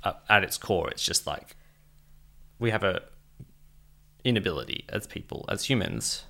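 A man's voice: deep voice, expressive, moderate pace, vocal fry, a bit bright. A man co-hosting a podcast, chatting casually in a rambling, self-deprecating, joking way. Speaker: podcast co-host. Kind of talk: casual chat.

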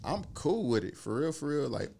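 A man in his thirties from the Cleveland area telling animated, slangy stories in casual chat, swearing freely. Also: medium pitch, moderate pace, vocal fry. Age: 30s.

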